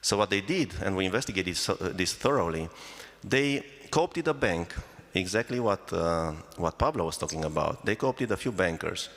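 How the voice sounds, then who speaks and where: A man's voice: low voice, lively intonation, moderate pace, few pauses, fairly bright tone. A man with a Romanian accent, explaining things emphatically as a panel moderator. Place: festival hall. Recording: echoey hall sound.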